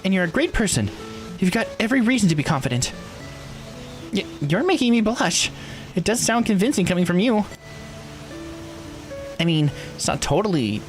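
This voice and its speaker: Visual novel youngster, man performing: high-pitched, earnest and emotive, lively swooping delivery, music underneath. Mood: shy gratitude. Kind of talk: visual novel dialogue read with expression.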